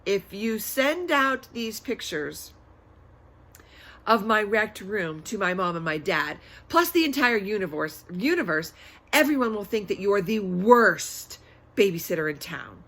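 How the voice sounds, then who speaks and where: A woman's voice: medium pitch, big pitch swings, a little slow, fairly bright tone, full character voice. A woman voicing a dramatic, bargaining young girl in a children's book, pleading and emphatic. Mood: warning. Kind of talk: children's book read-aloud.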